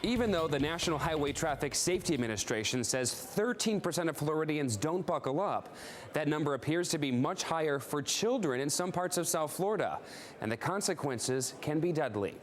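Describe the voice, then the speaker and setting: A man's voice: a little high, animated, fairly quick, faint music behind. A man narrating a scripted investigative news report as a television reporter.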